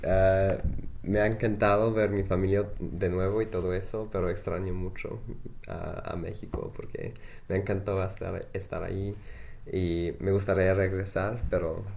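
Low gravelly young man, hesitant. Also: little pitch movement, normal speed, darkish tone. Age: young adult. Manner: hesitant, many filler sounds and restarts. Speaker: student interviewee. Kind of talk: interview answers in learner Spanish.

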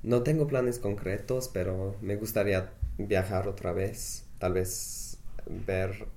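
Young guy from england, real low gravelly voice, lots of pauses. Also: steady tone, dark tone, slight background noise. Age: young adult. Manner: hesitant, many filler sounds and restarts. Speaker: student interviewee. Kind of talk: interview answers in learner Spanish.

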